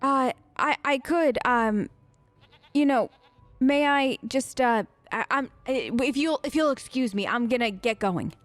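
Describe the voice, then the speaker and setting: High-voiced, chatty woman role-playing a game. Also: a little slow, no room sound. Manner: chatty, hesitant, awkwardly polite. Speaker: let's play streamer. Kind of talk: improvised in-character game role play.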